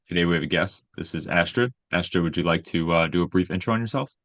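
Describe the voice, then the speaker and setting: A man's voice: sits low, quick pace, fairly bright tone, no room sound. A man hosting a podcast, greeting his guest and asking brief, casual questions. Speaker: podcast host. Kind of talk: podcast interview.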